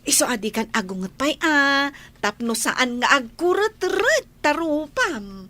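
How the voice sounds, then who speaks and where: A woman's voice: high-pitched, very animated, pushed and bright, slight background noise, full character voice. A woman playing a cheeky young housemaid in an audio drama, teasing and talking back with an Ilocano Filipino accent. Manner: playful, drawn-out, talking back.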